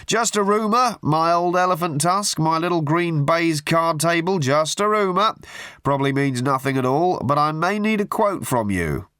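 A man's voice: on the high side, lively intonation, normal speed, fairly bright tone, clean tone, no room sound, studio quality, pitched above the performer's own voice. A man voicing a garrulous male tabloid journalist who babbles nonsense endearments on the phone in an audiobook. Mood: gushing. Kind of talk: audiobook character voice.

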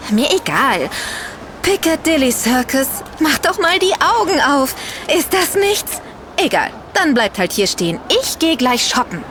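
A woman's voice: high-pitched, sing-song, unhurried, background noise. An actor playing an impatient young woman who wants to enjoy her city trip. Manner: impatient, dismissive.

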